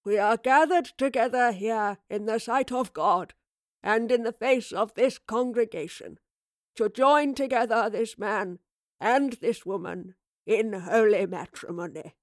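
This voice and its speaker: Woman as a slow, solemn priest. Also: a little high, dry recording, studio quality, close to an everyday voice. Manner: intoned, formal, drawn-out. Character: solemn, ceremonious male priest officiating a wedding.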